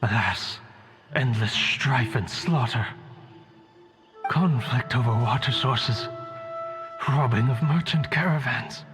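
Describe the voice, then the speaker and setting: A man's voice: medium pitch, lightly acted. Grave, weary scholar's spirit voiced by a man over music. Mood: sorrowful. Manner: solemn, formal, measured storytelling. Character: fragment of a dead idealist scholar's mind, grave and weary. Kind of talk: dramatic game voice acting.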